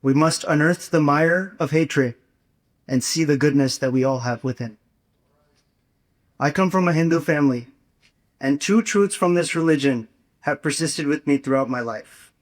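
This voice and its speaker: Higher-voiced young man giving an earnest speech. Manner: earnest, rhetorical, measured phrasing. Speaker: student speaker at a campus gathering. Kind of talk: prepared inspirational speech.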